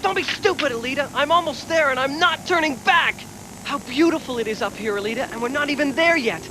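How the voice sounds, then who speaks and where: A man's voice: extremely high pitch, brisk, background noise. A man voicing a defiant, yearning young dreamer in a dubbed anime. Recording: old VHS tape, loud music behind.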